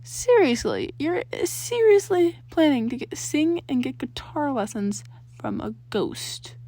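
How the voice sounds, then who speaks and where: A woman voicing a disapproving, sharp-tongued teenage girl in fan fiction, scolding and incredulous. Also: high voice, very animated, takes it slowly, voice pushed up, exaggerated voice. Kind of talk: acted fan fiction dialogue.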